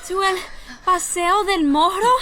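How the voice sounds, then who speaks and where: An actress playing a cheerful young twin, chatty and playful. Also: female voice, extremely high pitch, measured pace, noisy background. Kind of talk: audio drama acting.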